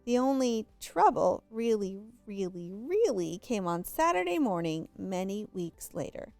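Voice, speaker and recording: A woman's voice: medium pitch, very animated, unhurried, gravelly, darkish tone, no room sound, soft background music. A woman reading a children's story aloud expressively, drawing out words for emphasis. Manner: draws out and stresses words for emphasis. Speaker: storybook reader.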